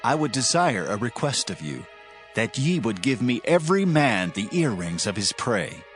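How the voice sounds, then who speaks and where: A man's voice: medium pitch, big pitch swings, normal speed, fairly bright tone, faint music behind. A man playing a commanding, righteous ancient war leader in a dramatized scripture reading, declaiming theatrically. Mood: measured authority.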